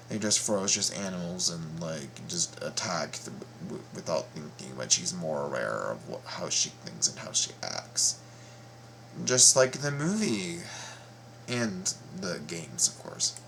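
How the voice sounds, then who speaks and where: A man giving casual reaction commentary, explaining things in a halting, repetitive way. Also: sits low, very animated, a bit bright, a little hiss or hum, low-quality recording. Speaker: reaction video host.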